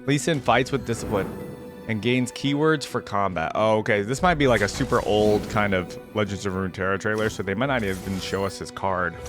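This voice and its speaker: A man's voice: lowish voice, brisk, music in the background. A man hosting a reaction video, commenting casually and informally as he thinks aloud.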